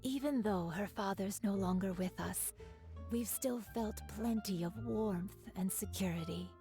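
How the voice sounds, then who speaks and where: A woman voicing a grateful widowed mother who is soft-spoken and courteous. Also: mid-range voice, a little slow, natural voice. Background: game music.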